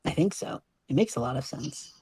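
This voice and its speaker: A woman's voice: extremely low pitch, expressive, fairly quick, warm tone, husky, no echo, full character voice. A woman voicing an easygoing, unworried young male time traveler in a conversational audio drama. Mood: easygoing, confident. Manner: breezy, reassuring, unworried.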